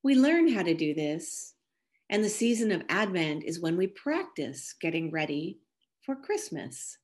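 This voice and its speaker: Slow, low-voiced woman reading scripture. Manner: slow, careful, measured reading. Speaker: minister. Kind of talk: scripture reading and devotional reflection.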